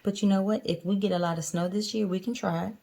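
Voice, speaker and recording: A woman's voice: mid-range voice, fairly even intonation, fast talker, gravelly, noisy background. A woman reading a picture book aloud as a mother to her young child, chatting casually and encouragingly. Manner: encouraging, explains things to child.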